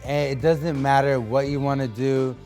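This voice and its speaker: A man's voice: medium pitch, steady tone, normal speed, darkish tone, faint music behind. A young man from New Hampshire talking loosely as a guest on a podcast, with lots of fillers and restarts. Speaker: guest artist. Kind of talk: casual podcast interview.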